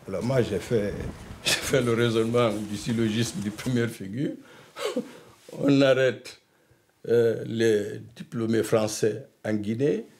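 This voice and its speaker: An older man from Guinea, a doctor, recounting his exile in a measured, reflective way. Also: mid-range voice, a little mellow. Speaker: doctor, interviewee. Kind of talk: testimony.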